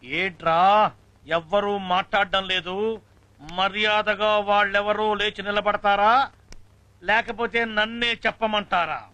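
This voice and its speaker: Scolding, high-pitched schoolteacher. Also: male voice, animated, quick pace, bright, forceful tone, no room sound, heavily acted, rough recording. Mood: menacing. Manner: scolding, threatening, repeats his words. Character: bullying, easily outraged male village schoolteacher. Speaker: schoolteacher in a comic film. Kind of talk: comic film acting.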